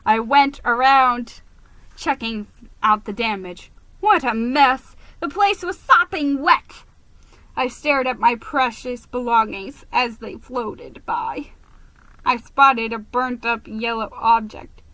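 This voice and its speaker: Female storybook reader, unhurried and close-sounding in a dry space, theatrical and emphatic. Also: fairly high pitch, animated, sharp tone, no room sound, rough recording. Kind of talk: dramatic reading of a children's story.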